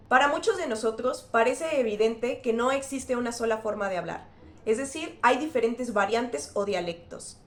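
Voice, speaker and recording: A woman linguist hosting a scripted educational explainer, speaking clearly and spelling out examples. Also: a little high, roomy sound. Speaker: linguist and channel host.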